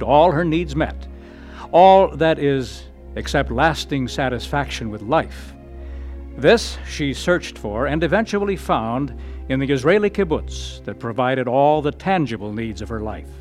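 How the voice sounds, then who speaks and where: Formal male radio announcer. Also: mid-range voice. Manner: measured, formal delivery.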